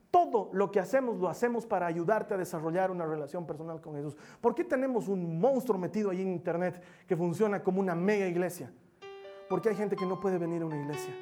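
Man preaching a sermon over soft music. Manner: repetitive, swings from loud emphatic peaks to soft low passages. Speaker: pastor. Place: church. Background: music playing under the sermon.

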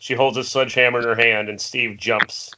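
A man's voice: medium pitch, level tone, a little fast, crowd noise. A man narrating, reading out screenplay stage directions in a table read. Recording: muffled internet call, faint noise.

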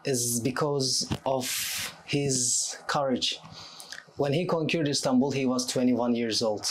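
A man's voice: mid-range voice, steady tone, takes it slowly, slight background noise, low-quality recording. Young Turkish-accented man, mid-range voice. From Turkey. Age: 20s. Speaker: podcast guest. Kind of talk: conversational interview answers.

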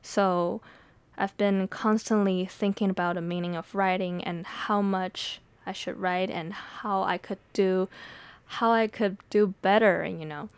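A young Taiwanese American woman whose first language is Mandarin, talking candidly and thoughtfully on her own podcast, laughing softly between thoughts. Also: mid-range voice, creaky, clean tone, no echo. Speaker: poet and podcast host. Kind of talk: reflective solo podcast talk.

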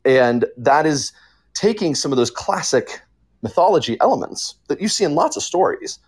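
A man's voice: on the high side, very animated, moderate pace, breathy edge. A man speaking informally as a writer on a podcast panel, explaining in an earnest, emphatic way.

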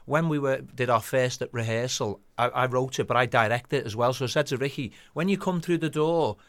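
A man's voice: medium pitch, fairly quick, a bit bright. A man with a Liverpool Scouse accent, telling anecdotes as a guest writer and director in a radio interview. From Liverpool, England.

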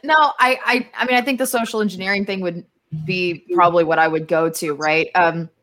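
A woman's voice: lowish voice, fairly even intonation, brisk, a bit bright. A woman speaking as a podcast guest in casual discussion, candid and playful.

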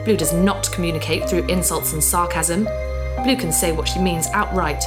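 A woman's voice: lowish voice, normal speed, loud music behind the voice, lightly acted. A woman voicing an earnest, childlike female robot in an audio drama who talks about herself in the third person. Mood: hurt. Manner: refers to herself in the third person, asks blunt questions. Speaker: ship's computer in a robot body.

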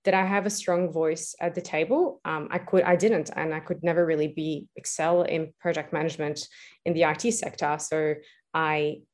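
A young woman with a slightly low voice is answering interview questions about her own path. She speaks casually and thinks out loud, using plenty of fillers and restarting her sentences. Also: steady tone, moderate pace. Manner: reflective, many fillers and restarts. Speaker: guest, entrepreneur.

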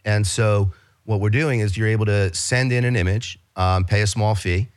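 A man's voice: lowish voice, fairly even intonation, moderate pace, clear, resonant tone. A man with a New York accent, a business guest talking in a casual interview, eagerly explaining his company with many restarts and fillers.

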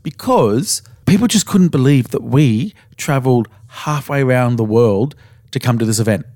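An Australian man co-hosting a podcast, slightly hoarse as he recovers his voice, telling stories in a frank, casual way with the odd swear word. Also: medium pitch, lively intonation, normal speed, a little mellow, no room sound, studio quality. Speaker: podcast co-host.

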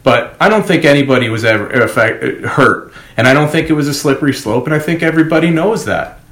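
A man holds forth like a podcast host in casual discussion. His delivery is emphatic and sardonic at a middling pitch, and the room adds noticeable echo. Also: fast, a bit bright. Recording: roomy, echoing podcast recording.

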